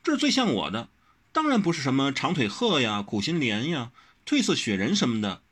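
A man's voice: sits high, wildly swooping pitch, moderate pace, a bit bright, breathy edge, pitched above the performer's own voice, exaggerated voice. A man voicing a headstrong, pouty young swordswoman in an audiobook, whiny and insistent. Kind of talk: audiobook character reading.

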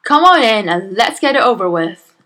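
A woman's voice: fairly high pitch, sing-song, normal speed, fairly bright tone, pitched above the performer's own voice. A woman voicing a brash, impatient middle-aged father who snaps and blusters. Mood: irritable. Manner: snappy, blustering.

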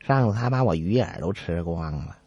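A man voicing a whiny, earnest small boy who complains slowly in a radio comedy sketch. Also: deep voice, animated, mellow, muffled recording, pitched below the performer's own voice. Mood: complaining.

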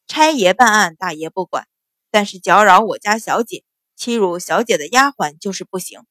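A woman voicing a cool, cocky male fighter in an audiobook, speaking in a cold, mocking, unhurried way. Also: mid-range voice, lively intonation, a bit bright, dry recording, close to an everyday voice. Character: cool, cocky male fighter guarding his young mistress.

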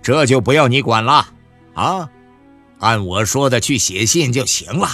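A storyteller voicing a bossy middle-aged husband who scolds and then reassures, in a radio novel. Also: male voice, medium pitch, animated, unhurried, a bit bright, soft background music. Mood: reassuring. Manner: scolding, brusque.

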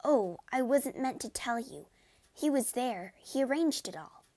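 A woman voicing a giddy, careless young woman who gushes breathlessly, pitched higher than her own voice. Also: expressive, a little slow, a little mellow, heavily acted. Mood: gushing.

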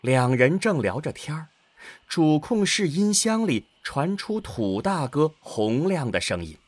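A man's voice: on the high side, big pitch swings, unhurried, no echo. A man narrating a science fiction radio drama in a scripted, dramatic storytelling style.

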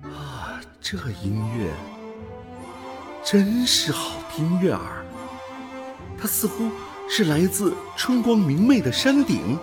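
An actor playing an awestruck space explorer in a radio drama, halting and full of wonder. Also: male voice, sits high, sing-song, over music, heavily acted. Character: awestruck space explorer newly transformed into an alien body.

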